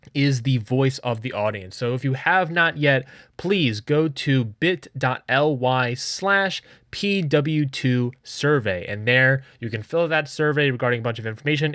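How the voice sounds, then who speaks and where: A man's voice: medium pitch, fairly bright tone, no room sound, clean studio sound. A man hosting a podcast, addressing listeners casually in an emphatic, pleading way and often repeating himself for stress. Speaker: podcast host.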